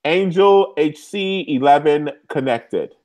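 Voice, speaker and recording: A man's voice: fairly high pitch, animated, takes it slowly, a little mellow. A man co-hosting a casual live recap show over a video call, emotional and playful. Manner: emotional, playful, sings along.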